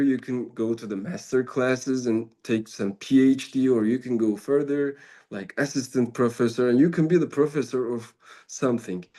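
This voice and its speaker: A man with a Turkish accent, a native Turkish speaker, giving an informal spiritual talk as a Sufi mentor, halting and searching for his English words. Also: medium pitch, warm tone.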